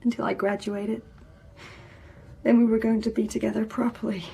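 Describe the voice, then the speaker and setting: Husky, high-voiced grieving young student. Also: female voice, flat delivery, moderate pace, warm tone, exaggerated voice. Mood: hurt, wistful. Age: young adult. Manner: hurt, earnest, guarded. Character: grieving, defensive young female student in love. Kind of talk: naturalistic radio drama acting.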